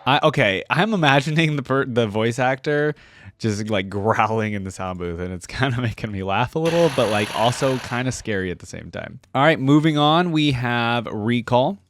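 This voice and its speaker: A man's voice: medium pitch, expressive, moderate pace, noisy background. A man giving casual reaction commentary as a video host, thinking aloud informally.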